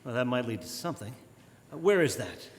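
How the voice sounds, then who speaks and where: A man playing an earnest, authoritative amateur detective in old-time radio drama style, deliberate and firm. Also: medium pitch, sing-song, natural voice. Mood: probing, composed. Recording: live stage, reverberant church hall.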